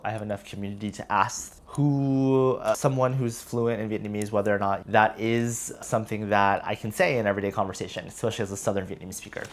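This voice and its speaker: A man from the United States with an American accent, talking casually and reflectively to viewers, explaining with hedges and emphasis on key words. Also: on the low side. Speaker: vlogger, heritage language learner.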